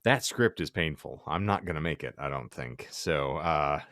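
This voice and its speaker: A man's voice: rumbling low, lively intonation, normal speed, a bit bright, dry recording, clean studio sound. A man hosting a comedic podcast, wordy and theatrical, rambling through florid, alliterative phrasing. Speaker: podcast host.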